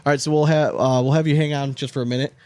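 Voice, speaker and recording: A man's voice: fairly high pitch, fairly even intonation, racing, a little mellow, smooth, no room sound. A man co-hosting a casual sports podcast, chatting in an informal, jokey, slangy way. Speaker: podcast co-host.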